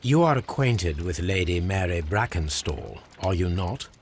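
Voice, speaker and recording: Low-voiced, shrewd detective. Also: male voice, expressive, a little hiss or hum. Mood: coolly accusing. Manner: measured, formal, incisive. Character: cool, shrewd Victorian male detective, self-assured and probing. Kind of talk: video game cutscene acting.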